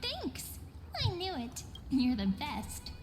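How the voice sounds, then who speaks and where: A woman's voice: high-pitched, sing-song, takes it slowly, heavily acted. A woman voicing a playful, dreamy young woman in a video game, speaking in a teasing, lilting, poetic way. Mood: coaxing. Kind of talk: video game character acting. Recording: long hall reverb under clear music.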